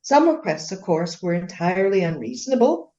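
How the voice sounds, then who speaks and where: A woman playing a proud, indignant Regency gentlewoman in a radio play, speaking formally and emphatically in defence of her husband. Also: fairly low pitch, sing-song, brisk, muffled recording. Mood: haughty, affronted.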